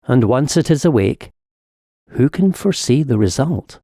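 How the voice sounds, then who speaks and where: A man's voice: medium pitch, big pitch swings, moderate pace, dark tone, no echo, clean studio sound. A man voicing an urbane senior demon in a dramatic audiobook, lecturing his young nephew with pompous, sly smugness. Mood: sly, instructive. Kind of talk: dramatic audiobook reading of epistolary satire.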